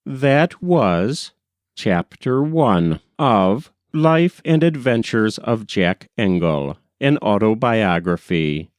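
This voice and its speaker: A man reading a literary story aloud as scripted narration, in a measured storytelling manner. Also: fairly low pitch, lively intonation, no room sound, studio quality. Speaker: audiobook reader.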